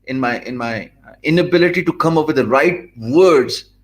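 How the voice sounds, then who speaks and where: A Pakistani man, English not his first language, giving an earnest video lesson from a small home office with many hesitant fillers. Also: medium pitch. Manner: earnest and measured, many "uh" fillers and restarts, gestures with his hands. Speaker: online business coach. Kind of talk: talking-head video lesson. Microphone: stand mic close in front, webcam framing.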